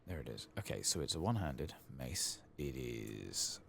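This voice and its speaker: A man giving soft-spoken, partly whispered ASMR commentary while he plays a game, casually muttering to himself. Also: a bass voice, sing-song, normal speed, creaky, a little hiss or hum. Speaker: gaming streamer.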